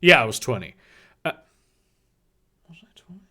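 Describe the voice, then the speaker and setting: A man in his twenties whose first language is German, answering chat questions on a livestream in a halting, casual way. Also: mid-range voice, sing-song, sharp tone. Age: 20s. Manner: halting, restarts often, reads donor questions aloud.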